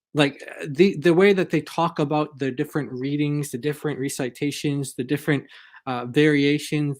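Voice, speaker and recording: Rambling man on a livestream. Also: mid-range voice. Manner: loose and rambling, many likes and kind ofs. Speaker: guest on stream. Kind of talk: casual livestream discussion.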